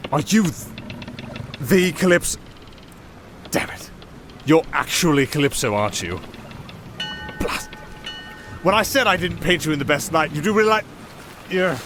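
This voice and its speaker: An actor playing a silver-tongued wandering musician in a fantasy audio drama, sardonic and theatrical, easily flustered. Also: male voice, fairly high pitch, a bit bright, a little hiss or hum. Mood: rueful disbelief.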